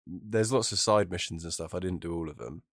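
Male co-host, British, very low pitch, mild head cold, informal gaming talk, dry recording. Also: a little fast, dry recording. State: bunged-up nose from a cold. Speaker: podcast co-host. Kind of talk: casual chat about video games.

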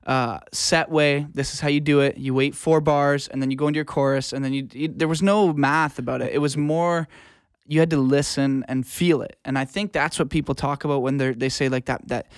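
Male podcast guest, music producer type. Clear resonant mid-pitched voice, reflective and conversational, recorded dry. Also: fairly even intonation, a little fast, no echo. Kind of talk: casual interview conversation.

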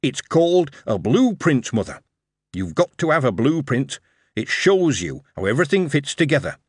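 An eager young Yorkshire lad, lifted a little above the reader's own voice, whose words tumble out in a lively up-and-down rush, as a man reads him. The tone is slightly husky and close. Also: mid-range voice, normal speed, no room sound, voice pushed up.

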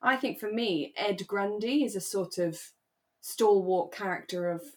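British woman chatting, medium-pitched voice. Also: unhurried, darkish tone. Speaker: podcast co-host. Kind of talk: casual chat about a radio drama.

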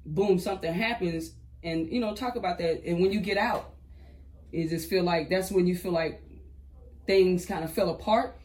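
High-voiced easygoing male host. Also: normal speed, a little mellow. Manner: encouraging, easygoing, heavy with fillers. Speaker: interview host. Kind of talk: casual interview talk.

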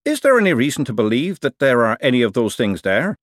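A shrewd, no-nonsense businesswoman, put on by a male narrator, with her voice raised a little above his own. She speaks crisply and plainly, in a close, dry sound. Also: mid-range voice, big pitch swings, quick pace, no echo, pitched above the performer's own voice. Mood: brisk. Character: brisk, shrewd businesswoman client. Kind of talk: audiobook character voice.

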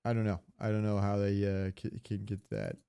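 Man chatting casually, smooth clear voice. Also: on the low side, big pitch swings, fairly quick, warm tone. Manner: rambling, informal, frequent swearing. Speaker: podcast co-host. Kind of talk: casual banter about video games.

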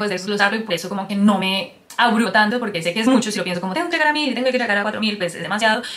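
Fast-talking woman vlogger. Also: medium pitch, bright, forceful tone, slight background noise. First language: Spanish. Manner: chatty, rambling, switches into English mid-sentence. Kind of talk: casual talk to camera.

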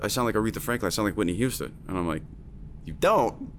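A man's voice: lowish voice, big pitch swings, fast, fairly bright tone, a little hiss or hum. A man working as a music producer and teacher, talking emphatically in a casual chat, with lots of fillers.

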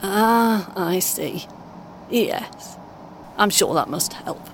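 A woman playing a polite, gently sceptical passenger in an audio play, with understated short replies and a creaky voice. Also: mid-range voice, extremely slow, low-quality recording. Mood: mildly sceptical. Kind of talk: naturalistic audio play acting. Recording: clear background noise, vehicle ambience.

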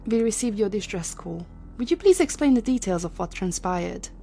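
A woman's voice: mid-range voice, lively intonation, moderate pace, gravelly, dark tone, soft background music. A woman playing a cold, commanding scientist in a sci-fi audio drama, speaking formally and imperiously. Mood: cool, formal. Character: cold, commanding female scientist leading a time-travel mission.

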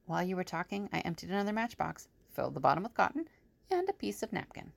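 A woman's voice: fairly low pitch, sing-song, fast talker, creaky, no room sound, heavily acted. A woman playing a practical, serious, organized character in an audio drama.

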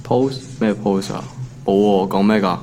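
A man's voice: fairly low pitch, fairly even intonation, measured pace, warm tone, slight background noise, natural voice. An actor with a Hong Kong accent playing an ordinary office worker in his twenties, wry and colloquial, in a naturalistic audio drama. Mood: teasing, casual. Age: 20s. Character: ordinary young male office worker, teasing and put-upon.

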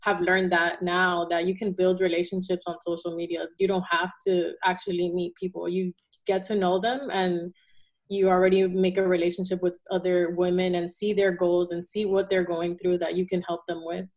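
A woman's voice: fairly low pitch, little pitch movement, normal speed. A young woman from Venezuela, a guest in a casual interview, talking earnestly with fillers and self-corrections.

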